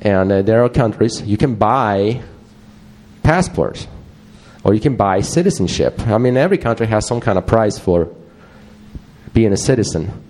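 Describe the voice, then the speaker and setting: A man's voice: fairly low pitch, animated, normal speed, darkish tone. A man with a Swedish accent giving a live presentation to an audience, talking loosely with wry asides and frequent restarts. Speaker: conference presenter.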